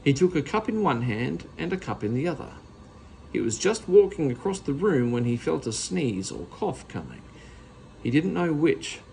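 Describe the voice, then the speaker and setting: A man's voice: on the high side, big pitch swings, moderate pace, creaky, darkish tone, a little hiss or hum, rough recording. A man reading a children's storybook aloud as narrator. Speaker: story reader.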